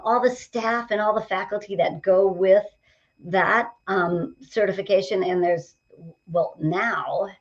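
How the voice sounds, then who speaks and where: Energetic woman nearing seventy, lo-fi. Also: mid-range voice. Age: 60s. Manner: energetic, upbeat, talks in stories and examples. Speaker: guest, motivational coach. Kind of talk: podcast conversation.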